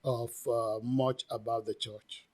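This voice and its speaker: A man's voice: mid-range voice, flat delivery, takes it slowly, a little mellow. A man guest explaining church customs, earnest and hesitant with frequent restarts. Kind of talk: casual explanatory talk.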